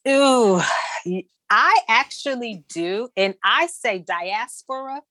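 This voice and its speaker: A woman from Detroit, an urban planner telling lively stories as a guest in a casual conversation. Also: on the high side, takes it slowly, dry recording. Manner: lively storytelling, many fillers.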